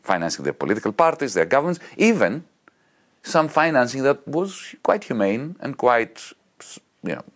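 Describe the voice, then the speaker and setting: A man with a Greek accent arguing politics in an interview, emphatic and animated, piling up examples. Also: medium pitch, moderate pace. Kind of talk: impassioned political argument.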